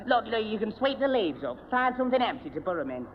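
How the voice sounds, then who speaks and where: A man's voice: high-pitched, sing-song, fast talker, slight background noise. A dim, put-upon young northern English man, flustered and stammering, repeating himself as he muddles along. He sounds like old radio sitcom, muffled and in a reverberant hall. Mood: grumbling, muddled. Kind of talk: 1970 radio sitcom acting.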